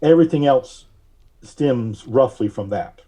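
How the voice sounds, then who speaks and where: A man giving measured, carefully worded explanations as a podcast guest. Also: mid-range voice, lively intonation, dark tone. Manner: measured, deliberate, precise wording. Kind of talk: explanatory interview talk.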